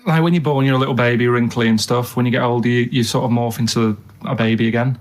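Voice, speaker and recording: A male radio co-host rambling casually through an anecdote, matter-of-fact and halting, often checking with a "right?". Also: medium pitch, fairly even intonation. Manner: halting, matter-of-fact, vague storytelling full of tags like "right?". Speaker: radio show co-host telling odd stories.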